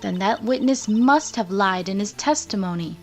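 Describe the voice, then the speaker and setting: Game mentor lawyer , woman performer: assured, encouraging, medium-pitched female voice, clear guidance. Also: expressive, moderate pace, faint music behind, close to an everyday voice. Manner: instructive, assured. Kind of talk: fan-dub game voice acting.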